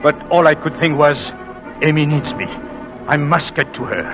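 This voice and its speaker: A man's voice: fairly high pitch, normal speed, over music, lightly acted. An actor playing a remorseful husband who narrates his story and pleads emotionally, in 1940s radio drama style on a lo-fi old recording. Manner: emotional, pleading, hushed at times. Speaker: narrator and lead.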